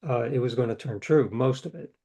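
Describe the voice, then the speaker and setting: A man's voice: mid-range voice, brisk, warm tone. Older man, interview guest, rambling storyteller, voices quoted dialogue, self-correcting. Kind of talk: casual storytelling.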